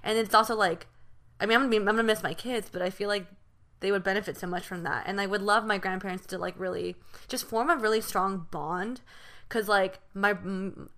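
A woman's voice: mid-range voice, fairly even intonation, a little fast, a bit bright. A young woman chatting casually among friends as a podcast co-host, often dropping in "like" and "you know". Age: young adult.

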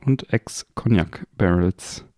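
A man's voice: low-pitched, slow, mellow, dry recording, studio quality. A man hosting a podcast in a casual, chatty style. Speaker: podcast host. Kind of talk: casual chat.

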